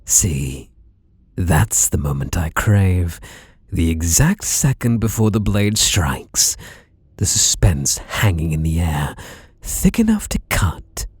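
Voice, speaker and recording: A man's voice: sits low, very animated, slow pace, a little mellow, husky, dry recording, full character voice. A British man playing a sadistic, obsessive masked killer in an ASMR roleplay, teasing and menacing with mock tenderness and whispered words. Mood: gloating, possessive.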